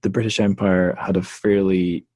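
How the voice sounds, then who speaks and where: Uk guy hosting a podcast, really low voice, lots of ums and restarts, dry close sound. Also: flat delivery, moderate pace, dark tone, no echo. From United Kingdom. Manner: hesitant, many fillers and restarts. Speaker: podcast host. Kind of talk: casual interview chat.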